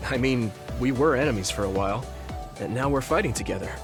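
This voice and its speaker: Casting: actor as idealistic young man soldier, video game, mid-pitched, sincere and conversational, clear music behind. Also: fast, lightly acted. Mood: thoughtful. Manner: sincere, conversational, slightly hesitant. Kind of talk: English video game voice acting.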